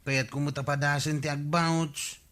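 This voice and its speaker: A man's voice: on the high side, flat delivery, normal speed, fairly bright tone, close to an everyday voice. A man playing a cheeky, careless village borrower with an Ilocano accent in a radio comedy drama, wheedling in a casual way. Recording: faint music under voice. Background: faint music.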